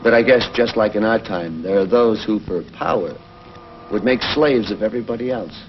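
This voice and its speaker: An actor playing a resolute, heroic pilot from the future in 1950s television science fiction, earnest and straightforward. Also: male voice, fairly low pitch, normal speed, lightly acted. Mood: earnest, questioning. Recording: noisy, dull 1950s television soundtrack.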